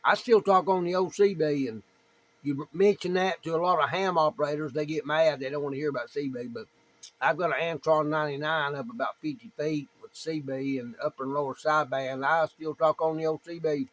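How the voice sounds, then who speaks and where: A man with a slightly high voice makes casual ham radio small talk. He is plainspoken and tends to say things over again as he talks shop with other operators. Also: steady tone, brisk, a bit bright, low-quality recording. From United States. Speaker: amateur radio operator, video maker.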